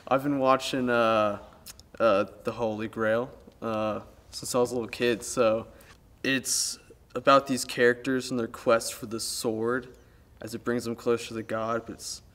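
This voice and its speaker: A man's voice: medium pitch, flat delivery, measured pace, fairly bright tone. A teenage young man from Colorado chatting casually and a bit hesitantly in an interview. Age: teens. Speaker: student playwright.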